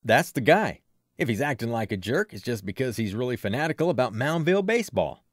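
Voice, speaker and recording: Man doing a loud, burly small-town sports fan. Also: mid-range voice, big pitch swings, fairly quick, no echo, clean studio sound. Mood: chummy, opinionated. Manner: loud, punchy, colloquial. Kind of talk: audiobook character voice.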